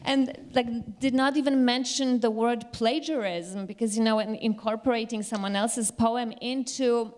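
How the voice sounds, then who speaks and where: A woman's voice: fairly high pitch, fairly even intonation, moderate pace, few pauses, a little mellow. Hesitant, scholarly woman in discussion. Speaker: literary commentator in a discussion.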